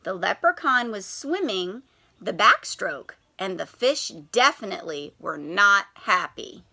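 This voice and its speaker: A woman teacher reading a children's picture book aloud, telling the story in an animated, expressive way and asking the children questions. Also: mid-range voice, a little slow.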